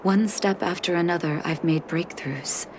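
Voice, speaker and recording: A woman playing a grave, resolute young healer in a video game, measured and reflective as she grieves a lost brother. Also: lowish voice, noisy background, close to an everyday voice. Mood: solemn, reading aloud. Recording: game music behind the voice.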